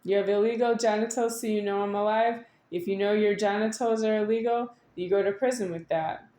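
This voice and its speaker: A woman giving a rambling, unscripted monologue to an audience, halting at times with drawn-out words and insistent repetition. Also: mid-range voice, fairly even intonation, mellow. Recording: echoey room.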